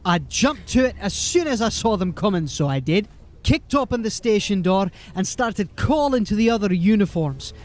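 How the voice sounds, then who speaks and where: A man's voice: high voice, expressive, moderate pace, a little mellow. A man playing a folksy, rambling, deferential corporal of a fantasy city watch in an audio drama. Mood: rambling, excited. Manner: folksy, rambling, eager to please.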